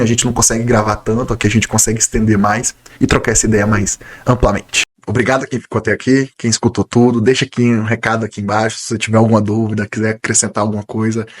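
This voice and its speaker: Fast-talking man, a bit nasal from a cold. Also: on the low side, slightly rough. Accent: Brazilian Portuguese. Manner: conversational, enthusiastic, direct address to viewers. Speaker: nutritionist vlogger. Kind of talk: casual talking-to-camera vlog.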